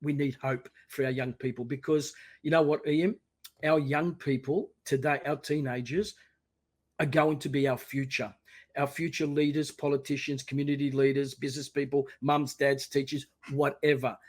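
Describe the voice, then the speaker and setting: A man in his sixties with an Australian accent, a podcast guest talking with animated energy and acting out encouraging voices. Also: mid-range voice, moderate pace. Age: 60s. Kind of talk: casual interview.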